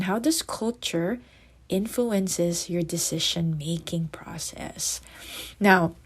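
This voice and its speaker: Filipino-accented woman podcast host. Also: medium pitch. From Philippines. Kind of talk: casual podcast talk.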